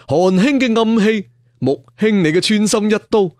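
A man's voice: sits high, normal speed, a little mellow, no room sound, clean studio sound, voice pushed up, close to an everyday voice. A man voicing a courteous, self-effacing assassin and master thief in a storybook, speaking politely and formally. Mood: modest, smiling.

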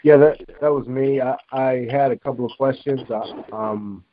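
A man calling in to a group phone call, speaking politely and haltingly. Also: medium pitch, steady tone. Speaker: caller, news website operator. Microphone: telephone. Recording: dull noisy phone line with clicks.

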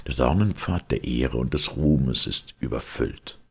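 A man narrating a literary story with solemn, theatrical weight and a gravelly, husky voice. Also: extremely low pitch, animated, measured pace. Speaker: audiobook narrator.

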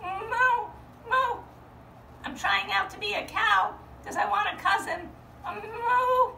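A timid little sheep girl acted by a woman bleats in a very high, squeaky voice and trips over shy ums and uhs. The sound is roomy and distant, as if recorded from across a room. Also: takes it slowly, gravelly, pushed and bright, full character voice. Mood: eager. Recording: roomy, from far off, faint hum.

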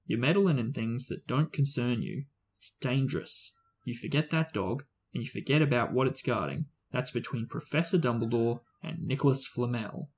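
A man doing a huge, gruff gamekeeper, stubborn and loyal, with a husky, clipped way of talking that sounds like a storybook reading. He scolds and insists without budging. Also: medium pitch, moderate pace. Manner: gruff, dropped endings like "ya".

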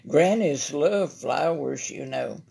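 Woman reading as a slow, sly Southern storybook wolf. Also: deep voice, expressive, creaky, dark tone, slightly rough, full character voice. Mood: smooth, coaxing. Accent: Southern American. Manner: sweet-talking, wheedling. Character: sly, charming, greedy male wolf.

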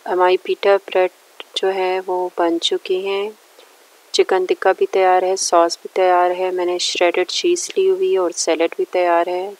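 A woman's voice: on the low side, darkish tone, rough recording. A woman narrating a recipe step by step in a plain, instructional way. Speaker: cooking show host.

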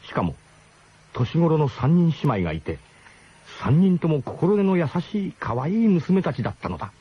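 Animated male storyteller, dull old radio sound. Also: on the high side, normal speed, slightly rough. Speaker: narrator. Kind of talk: dramatic first-person storytelling in a radio drama. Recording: dull, slightly noisy old radio broadcast.

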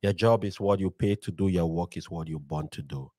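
A man in his forties from Cameroon talks like a motivational speaker, swelling into emphatic build-ups and tossing in "right?" to keep the listener with him. His voice sits fairly low and close to a studio mic in a dry room. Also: brisk, no room sound. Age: 40s. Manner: animated, preacher-like build-ups, frequent "right?". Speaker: podcast guest, motivational speaker. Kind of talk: energetic motivational storytelling. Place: bookshelf-lined podcast studio. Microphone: studio mic on boom arm.

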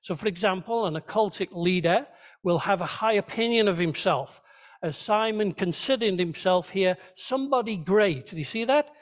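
A man's voice: high voice, animated, normal speed. A man preaching a sermon as a pastor, teaching conversationally and checking in with his listeners. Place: church, speaking to a congregation.

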